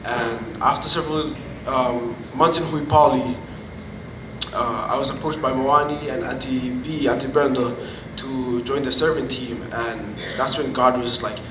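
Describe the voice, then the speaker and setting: A man's voice: medium pitch, steady tone, measured pace. Young Fijian man speaking in a roomy space. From Fiji. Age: 20s. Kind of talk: personal testimony, informal public speaking. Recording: roomy, distant mic, noticeable background noise.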